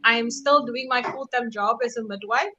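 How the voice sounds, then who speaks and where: A woman's voice: on the high side, moderate pace, sharp tone. A woman from South Africa, a midwife, introducing herself cheerfully on a live online call. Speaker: midwife, panelist. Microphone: video call. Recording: faint background noise and clicks.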